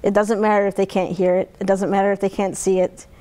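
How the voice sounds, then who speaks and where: A woman's voice: mid-range voice, flat delivery, quick pace, warm tone. A woman, talking reflectively in an interview as a music therapist. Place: indoor room.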